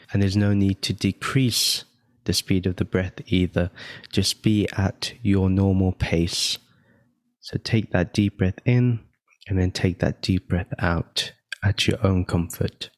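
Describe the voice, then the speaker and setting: A man leading a guided meditation, giving gentle step-by-step instructions with long pauses between phrases. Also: deep voice, little pitch movement, no room sound. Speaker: meditation guide and podcast host.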